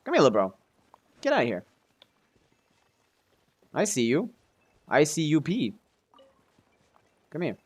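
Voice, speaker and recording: A man's voice: a little high, huge pitch swings, a little slow, pauses often, husky. Casual male game streamer thinking aloud. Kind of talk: casual livestream gaming commentary and chat.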